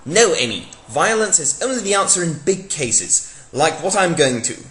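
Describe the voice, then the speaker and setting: A man's voice: a little high, sing-song, moderate pace, fairly bright tone, pitched above the performer's own voice. A man voicing a solemn, pompous time-travelling hero in a dramatic reading, with stilted, declamatory delivery. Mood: grave. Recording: echoey room.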